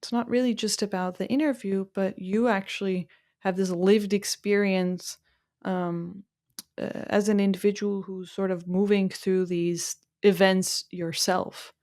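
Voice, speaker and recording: A woman's voice: mid-range voice, fairly even intonation, a little slow, a little mellow. Woman host, reflective with many fillers. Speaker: podcast host. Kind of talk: interview questions.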